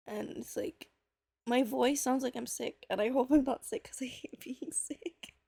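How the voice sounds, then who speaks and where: A woman's voice: high voice, steady tone, unhurried, gravelly, dark tone, slightly rough, no echo. A woman in a casual, playful, self-deprecating manner, as in an informal read-aloud recording. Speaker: reader on a personal audio series. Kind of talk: casual chat and reading a novel aloud.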